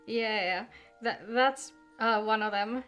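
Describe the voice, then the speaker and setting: High-voiced woman chatting on stream. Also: slow pace, a bit bright, clear, resonant tone. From Finland. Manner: chatty, greets viewers by name. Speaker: game livestreamer. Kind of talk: casual livestream chat with viewers. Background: in-game music.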